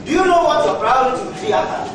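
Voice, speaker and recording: A Nigerian-accented man acting on stage as an old retired schoolteacher, lecturing in a measured, stern, reproachful way. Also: extremely high pitch, animated. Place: large bare indoor stage. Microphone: far camera. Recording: echoey hall, distant camera mic, noisy audience.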